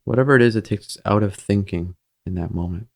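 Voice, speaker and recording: A man leading a guided meditation in a gentle, soothing, measured manner with pauses between phrases. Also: lowish voice, vocal fry.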